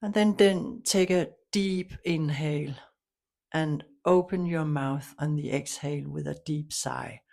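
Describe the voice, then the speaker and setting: Slow, creaky-voiced woman guiding meditation. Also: low-pitched. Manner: calm, soothing, unhurried with long pauses. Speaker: meditation guide. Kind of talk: guided meditation, soft instruction.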